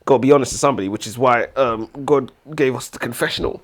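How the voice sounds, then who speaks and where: A man's voice: medium pitch, fast. A British man bantering casually as a book review co-host, blunt, opinionated and jokey.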